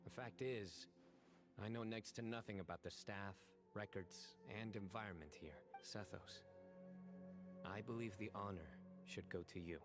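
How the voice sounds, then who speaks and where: An actor playing a composed, reserved young general in a video game, speaking formally and with measured precision. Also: male voice, on the low side, lightly acted. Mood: calm, measured. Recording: game audio over background music.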